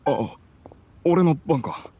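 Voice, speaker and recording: An actor playing a timid, meticulous clerk in a Japanese video game, halting and stumbling over precise details. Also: male voice, fairly high pitch, expressive, warm tone, husky, exaggerated voice. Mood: nervous, awkward. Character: timid, meticulous, awkward male government clerk.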